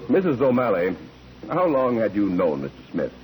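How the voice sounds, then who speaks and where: An actor playing a methodical lawyer examining witnesses in a 1940s radio drama, formal, deliberate and insistent in his questioning. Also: lowish voice, huge pitch swings, a little mellow, faint music behind. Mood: formal, probing. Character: courtroom examiner, a probing, methodical male lawyer. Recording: noisy old 1940s radio recording.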